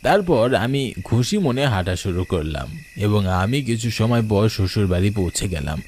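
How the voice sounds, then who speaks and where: A man's voice: fairly low pitch, expressive, moderate pace, a little mellow, a little hiss or hum. A man narrating a ghost story in the first person, in a dramatic storytelling style. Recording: night crickets and insects behind.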